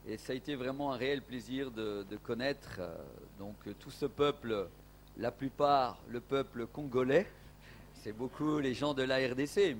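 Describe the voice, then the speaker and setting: A man's voice: medium pitch, a little hiss or hum. A man from Portugal preaching a sermon as an evangelist in a church, emphatic, with rising exclamations.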